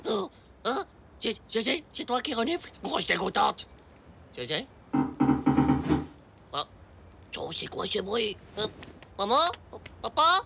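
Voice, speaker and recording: Squeamish young cartoon boy, whiny, wildly swooping delivery, loud music underneath. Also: on the high side, big pitch swings, slow pace, vocal fry, long pauses, heavily acted. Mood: panicked. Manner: whiny, exaggerated, slangy. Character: excitable, dramatic, squeamish young schoolboy. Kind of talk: French animated comedy acting.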